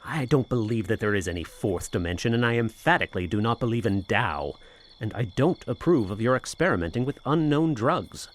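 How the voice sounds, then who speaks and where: An actor playing a skeptical, cautious friend in an audio drama, speaking formally and earnestly. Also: male voice, mid-range voice, animated, brisk, close to an everyday voice. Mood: concerned, disapproving.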